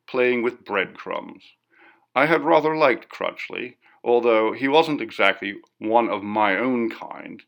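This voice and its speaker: A man's voice: mid-range voice, gravelly, fairly bright tone. A man narrating a classic ghost story as a scripted audiobook reading.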